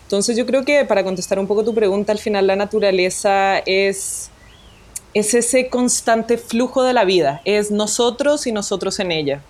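A woman's voice: medium pitch, moderate pace, a little mellow. A woman from Colombia, a guest photographer being interviewed, telling her story in a reflective way and restarting her sentences often. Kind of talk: conversational interview.

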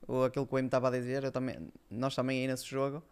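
A man with a European Portuguese accent chats in a clear, smooth voice that stays very even in pitch. He reminisces casually, feeling his way through loose, hesitant phrases. He comes across as a guest on a podcast. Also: rapid-fire. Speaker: podcast guest, former esports player.

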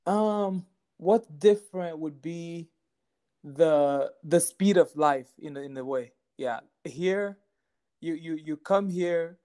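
Young man from Cameroon, podcast guest, smooth clear voice, easygoing halting speech. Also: fairly high pitch, dark tone. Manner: easygoing, halting with repeated words. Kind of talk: casual interview chat.

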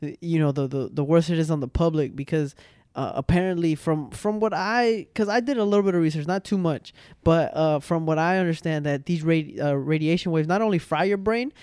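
A young man hosts a home podcast, talking into a boom arm mic with a mellow, slightly high voice. His chat is loose and rambling, full of slang and restarts. The sound is dry and close. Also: fairly quick, smooth, no echo. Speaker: podcast host. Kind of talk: casual chat.

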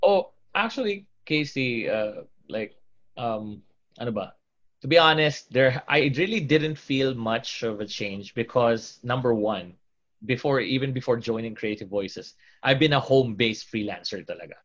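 Filipino man, voice-over artist, mid-pitched voice, rambling friendly interview chat, muffled lo-fi sound. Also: lively intonation, unhurried, a bit bright. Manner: talkative, with many fillers and restarts. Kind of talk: casual interview chat mixing Tagalog and English.

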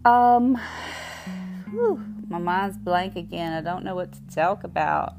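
A young woman with a Southern US accent, chatting candidly and rambling on her solo podcast, full of fillers and pauses. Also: lowish voice, lively intonation, gravelly, a little mellow, faint music behind. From Southern United States. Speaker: podcast host.

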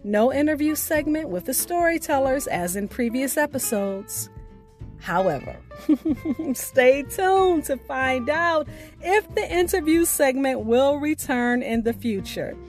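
A woman hosting a podcast, reading scripted announcements in a deliberate, carefully enunciated way. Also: high-pitched, lively intonation, soft background music. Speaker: podcast host.

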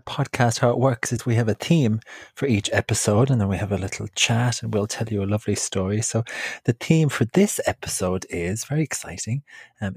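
A man's voice: mid-range voice, a little mellow, slightly rough, dry recording, clean studio sound. A man with an Irish accent, chatting and telling personal anecdotes as co-host of a podcast. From Ireland. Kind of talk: casual chat and personal anecdote.

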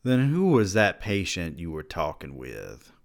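A man reading aloud and voicing a stern, domineering older male college president who interrogates and scolds loudly. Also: low-pitched, huge pitch swings, measured pace, gravelly, heavily acted. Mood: interrogating. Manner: loud, scolding, contemptuous.

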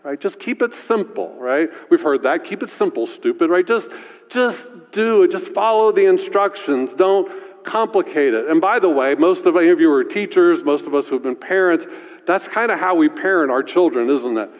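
A male pastor with a deep voice preaching a sermon in a conversational way, often asking "right?". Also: expressive, moderate pace, long reverb tail.

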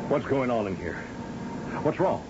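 An actor playing a commanding, suspicious governor in an old-time radio science fiction drama. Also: male voice, medium pitch, lively intonation, moderate pace, lightly acted. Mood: alarmed, urgent. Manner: commanding, demanding, urgent. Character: blustering, suspicious governor of a space settlement. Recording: dull, noisy old radio drama recording.

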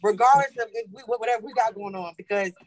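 A woman's voice: a little high, quick pace, poor audio. A woman guest chatting casually in a slangy, conversational way. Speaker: voice actor guest. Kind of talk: casual chat.

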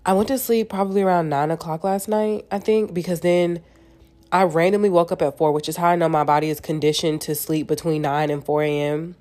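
A young woman with a low voice chats through her solo podcast, stuffed up and nasal from a cold. She talks candidly and casually, cursing freely as she goes. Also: a little mellow. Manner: candid, chatty, swears freely. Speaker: podcast host.

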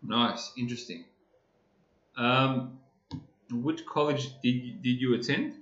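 A man's voice: mid-range voice, slow, a bit bright, roomy sound, lo-fi sound. A man hosting a podcast interview casually, with short reactions and stumbling repeats. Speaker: podcast host.

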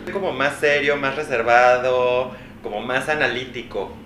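A man co-hosting a casual comedic chat, joking and interjecting quickly. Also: medium pitch, steady tone, bright, forceful tone, soft background music. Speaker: co-host. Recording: echoey room with faint noise.

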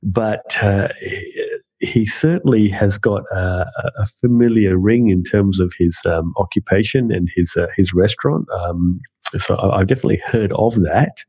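Man, low voice, husky, podcast host, friendly chatter. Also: normal speed, darkish tone. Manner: friendly, chatty, lots of fillers. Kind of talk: casual podcast chat.

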